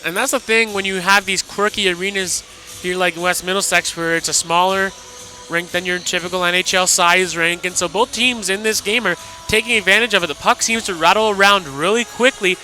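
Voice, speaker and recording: A man's voice: sits high, fairly even intonation, brisk, sharp tone, faint music behind, low-quality recording. A man giving colour commentary on a live hockey radio broadcast.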